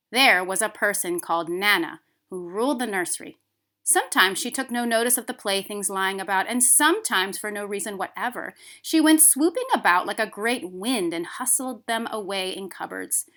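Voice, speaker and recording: A woman reading a children's story aloud as a teacher, expressive, with emphasis on key words. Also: mid-range voice.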